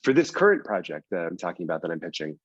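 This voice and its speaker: A man's voice: sits low, very animated, fast talker, no room sound, highs cut off. A man speaking casually as an interview guest, explaining things in loose runs with repeats for emphasis. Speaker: writer and producer, interview guest.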